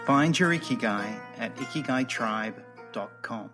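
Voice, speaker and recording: A man's voice: medium pitch, animated, a little slow, over music. A man hosting a podcast, reading a friendly introduction and then asking his guest questions. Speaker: podcast host.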